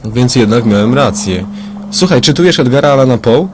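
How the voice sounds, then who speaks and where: A man acts as a wry police detective in a crime radio play. His delivery is casual and laconic, at a middling pitch. Faint noise sits behind the voice. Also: expressive, a little fast, a little mellow, lightly acted. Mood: wry, curious.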